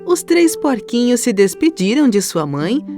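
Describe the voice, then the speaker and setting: A woman's voice: a little high, sing-song, a little slow. A woman with a Brazilian accent narrating a scripted children's story. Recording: music under the voice.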